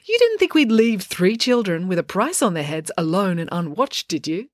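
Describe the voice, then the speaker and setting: A woman's voice: medium pitch, sing-song, moderate pace, no echo, studio quality, close to an everyday voice. Woman playing a composed, authoritative professor.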